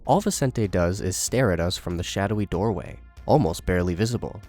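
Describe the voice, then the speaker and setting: Low-voiced man narrating over music. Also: expressive, fairly quick, a little mellow. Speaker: horror series commentator. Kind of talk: scripted narration and commentary.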